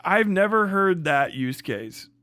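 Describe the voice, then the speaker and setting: A man with a slightly high voice talks in a close, dry sound as he hosts a livestream. He is blunt and sardonic, leaning on slang, profanity and hyperbole in a casual rant. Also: big pitch swings, slow, bright, forceful tone, smooth, no room sound. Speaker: livestream host.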